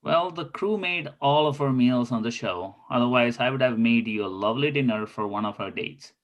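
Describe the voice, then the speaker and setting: A man's voice: mid-range voice, normal speed, lo-fi sound, natural voice. Earnest, lovestruck spy in his thirties, read by a man, formal and courtly, mid-range voice, table-read drama. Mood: content.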